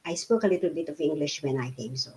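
A woman's voice: low voice, quick pace, warm tone. Chatty Filipina woman telling her story. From Philippines. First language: Tagalog. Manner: chatty with frequent fillers and restarts. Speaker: interviewee. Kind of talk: casual life-story interview.

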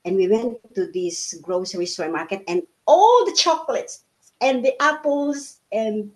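A woman's voice: mid-range voice, lively intonation, unhurried. A woman in her fifties from the Philippines, a native Tagalog speaker, recounting her life in a casual interview with many fillers and restarts. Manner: chatty with frequent fillers and restarts.

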